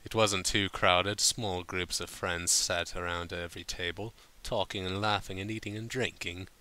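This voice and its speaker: A man's voice: low-pitched, fairly even intonation, normal speed, sharp tone. A man reading a story aloud informally, sometimes stumbling over his words and breaking off to comment. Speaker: fan fiction reader.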